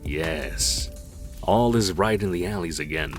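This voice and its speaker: A man with a very low voice narrates a comic book story. His delivery is dramatic and deliberate, with music underneath.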